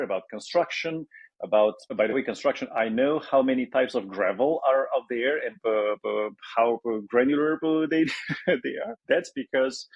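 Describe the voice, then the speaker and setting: A man's voice: medium pitch, slightly rough, no room sound, highs cut off. A man in his forties from Romania with a Romanian accent, answering interview questions hesitantly with many fillers and restarts. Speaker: guest entrepreneur.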